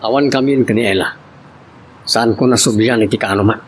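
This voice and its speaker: A man's voice: mid-range voice, moderate pace, close to an everyday voice. Actor as stubborn Ilocano man, noisy recording. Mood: defiant. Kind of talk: radio-style Ilocano love drama acting. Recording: clear background noise.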